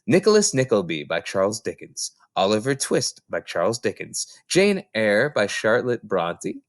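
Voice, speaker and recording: A man reading a children's novel aloud as an expressive audiobook narrator. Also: fairly low pitch, dry recording, studio quality. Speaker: storybook reader.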